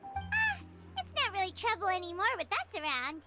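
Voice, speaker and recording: Tiny squeaky fairy mascot from a game, cutesy and boastful, super animated. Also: female voice, moderate pace, heavily acted. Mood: curious. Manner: cutesy, refers to self in third person. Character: tiny floating fairy companion, childlike, boastful, protective. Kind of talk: video game voice acting. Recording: game music behind the voice.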